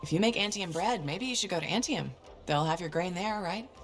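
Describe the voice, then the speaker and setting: A woman's voice: fairly low pitch, animated, brisk. A woman playing a level-headed freelance adventurer in a video game, dry and understated in delivery. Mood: gently reassuring. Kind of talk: naturalistic video game acting.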